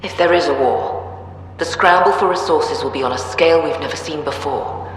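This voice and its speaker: A woman playing a resolute, secretive visionary leader in a video game, deliberate and authoritative. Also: low-pitched, natural voice. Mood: grave, resolute. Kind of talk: video game dramatic acting. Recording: echoey hall, distant, faint hum.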